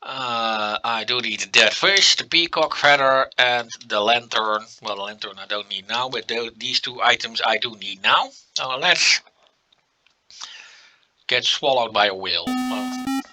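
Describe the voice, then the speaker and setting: A man with a Dutch accent, a native Dutch speaker, giving relaxed gameplay commentary and thinking aloud with many fillers. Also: mid-range voice, measured pace. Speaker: let's play commentator.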